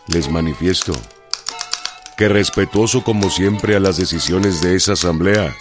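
A man playing a solemn revolutionary commander dictating a formal, measured telegram in a radio drama. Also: deep voice, natural voice. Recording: music and typewriter behind.

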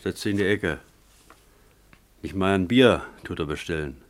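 Lowish, offhand pub server. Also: male voice, measured pace. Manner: offhand, colloquial, muttering. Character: grumbling, offhand man serving in a village pub. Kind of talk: German radio play acting.

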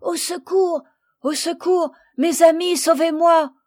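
Woman acting storybook girl, high pitch, desperate, pleading, crying out for help. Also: steady tone, slow pace, a little mellow, no room sound, clean studio sound. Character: girl trapped in a hollow tree, desperate.

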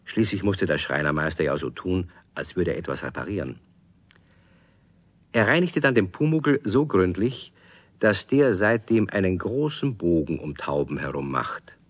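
A man's voice: deep voice, animated, moderate pace. A man giving scripted narration as the storyteller of a children's radio play.

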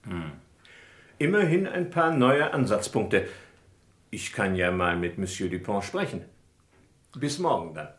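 A man's voice: fairly low pitch, big pitch swings, a little slow, long pauses, reverberant. An actor playing an impatient, probing French defence lawyer in a German radio crime play, formal and insistent in his questioning. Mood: thoughtful.

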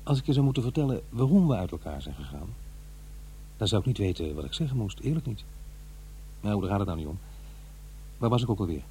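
Radio drama father, middle-aged Netherlands Dutch man, dark mellow low voice, practical and sceptical, narrating and in dialogue. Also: big pitch swings, fast talker, long pauses, slight background noise. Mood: reminiscing.

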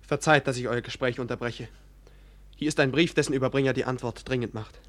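An actor playing a polite, deferential attendant in a 1940s German radio drama. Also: male voice, medium pitch, fairly even intonation, a little fast, a bit bright, natural voice. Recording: faint noise, old radio recording.

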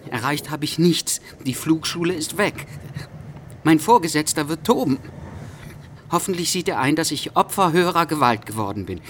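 An actor playing a pedantic, easily exasperated traveller in a German radio drama, wordy and theatrically bewildered. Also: male voice, a little high, lively intonation, normal speed, fairly bright tone, a little hiss or hum. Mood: worried.

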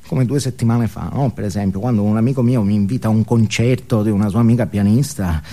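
A man's voice: fairly low pitch, quick pace, dark tone, close to an everyday voice. An actor playing a neurotic, ashamed, self-absorbed man who stammers and repeats words as he justifies himself in a comic stage monologue. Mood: awkward, confessional.

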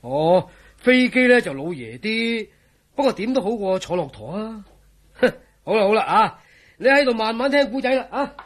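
A man playing a scoffing, jovial friend in a radio drama, teasing with drawn-out exclamations. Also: high voice, big pitch swings. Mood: reluctant, joking. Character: scoffing, jovial man, mocking a believer in legends. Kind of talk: Cantonese radio drama acting.

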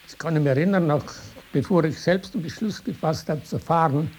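An older man with a Tyrolean Austrian accent, recalling his life in spontaneous, halting, rambling talk. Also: fairly high pitch, a little mellow, husky. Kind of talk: spontaneous oral reminiscence. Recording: hissy archival interview tape.

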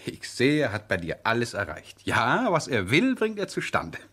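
Lively, husky, teasing gentleman. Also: medium pitch, moderate pace, exaggerated voice. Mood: teasing, enthusiastic. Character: impulsive, teasing, romantic gentleman husband. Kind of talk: 1970s German radio drama acting.

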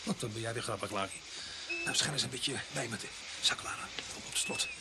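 Gruff police sergeant, very lively delivery. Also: male voice, mid-range voice, fairly quick. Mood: teasing, gruff. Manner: brusque, sardonic. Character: gruff, bossy, teasing male police sergeant. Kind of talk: radio play acting. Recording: very noisy radio drama recording.

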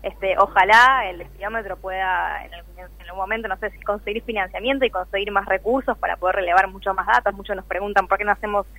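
Bright, fast-talking Argentine woman on phone. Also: medium pitch, steady tone, rough recording. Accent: Argentine Spanish. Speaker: interview guest, activist behind a data project. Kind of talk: spontaneous radio interview. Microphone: telephone call.

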